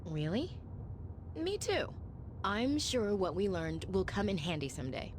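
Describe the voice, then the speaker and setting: An actress playing a spirited, supportive young woman surgeon in an animated game drama, expressive and earnest. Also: mid-range voice, a little slow, slight background noise. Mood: playful, assertive.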